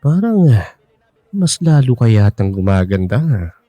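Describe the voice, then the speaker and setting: A man's voice: on the low side, sing-song, unhurried, warm tone, no room sound, soft background music. An actor playing a flirtatious, teasing man of sixty-five with a Filipino accent in a radio drama. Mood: playful, wooing. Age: 60s. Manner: teasing, cajoling, exaggerated swooping delivery.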